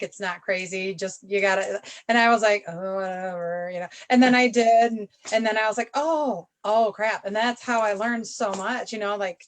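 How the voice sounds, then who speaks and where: Candid rambling woman co-host. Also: mid-range voice. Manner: rambling, candid, trails off and restarts. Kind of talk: casual chat.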